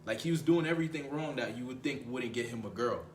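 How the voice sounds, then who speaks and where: A young man with a low voice, giving dating advice in a casual, slangy way and often repeating himself for emphasis. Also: fast talker, echoey room, slight background noise. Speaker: dating coach.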